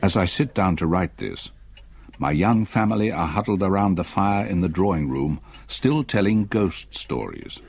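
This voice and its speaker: Husky, haunted elderly English gentleman. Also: sits low, expressive, no room sound, full character voice. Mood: brooding. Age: older. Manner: formal, measured, hesitant. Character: haunted, reticent elderly English stepfather, retired solicitor. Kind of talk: radio drama, first-person narration and dialogue.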